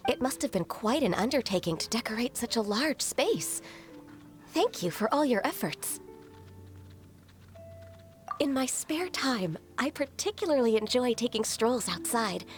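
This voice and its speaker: A woman voicing a gentle, shy, dutiful half-human young woman in a video game, speaking politely and formally with some hesitance. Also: on the high side, animated, unhurried, long pauses, soft background music. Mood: polite, serene. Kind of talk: video game character dialogue.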